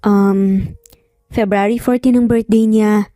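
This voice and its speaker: A woman's voice: mid-range voice, steady tone, slow pace, dark tone, no room sound, studio quality, faint music behind, natural voice. A woman voicing a nervous, evasive young mother in a romance story, hesitant and apologetic. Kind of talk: dramatic romance audio storytelling.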